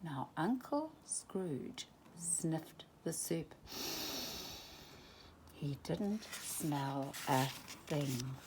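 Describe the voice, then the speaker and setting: A woman reading a children's storybook aloud, slow and expressive. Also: low voice, noisy background. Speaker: storytime reader.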